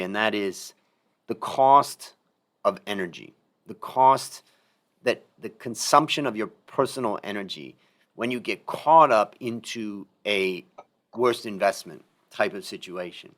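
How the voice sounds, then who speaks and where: Low-voiced middle-aged male podcast host. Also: measured pace, fairly bright tone. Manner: reflective, emphatic, repeats key phrases. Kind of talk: casual interview talk.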